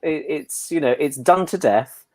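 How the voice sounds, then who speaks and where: A man's voice: a little high, unhurried, darkish tone, no echo. A man who performs as a pantomime dame, chatting casually as a panel guest. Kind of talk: casual chat.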